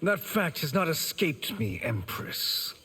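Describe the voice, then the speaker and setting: A man playing a scheming sorcerer villain in a video game, formal, unhurried and insinuating. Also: a little high, big pitch swings, sharp tone, husky, heavily acted. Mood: sly, threatening. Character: sly, scheming male sorcerer, smooth villain. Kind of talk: dramatic video game acting.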